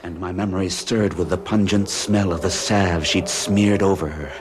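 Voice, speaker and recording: An actor playing a haunted, frightened man who tells his story with halting, dramatic intensity in an old radio horror drama. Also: low-pitched, fairly even intonation, noisy background, lightly acted. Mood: haunted, hushed.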